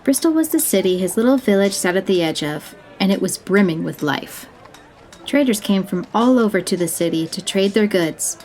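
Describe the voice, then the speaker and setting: A woman's voice: medium pitch, animated, normal speed, a little mellow, soft background music. A woman reading scripted narration for a fantasy audio story.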